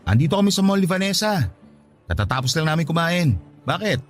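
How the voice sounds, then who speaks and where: A man's voice: a little high, very animated, a little fast, faint music behind. An actor playing an easygoing, playful husband in his thirties who gets defensive with his wife, in a radio drama. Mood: cheerful, eager. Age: 30s. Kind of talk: Tagalog radio drama acting.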